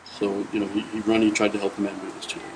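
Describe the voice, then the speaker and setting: A man with a very deep voice speaks gravely and soberly. His delivery stays flat and level in pitch. A steady air-conditioner hum runs through the room around him. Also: very fast. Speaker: volunteer. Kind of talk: documentary interview.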